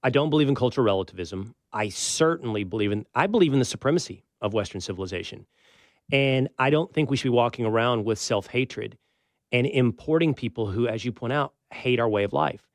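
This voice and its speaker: A man with an American accent hosting a talk show, giving conversational, emphatic political commentary with pauses for effect. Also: mid-range voice, animated, no echo, clean studio sound. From United States.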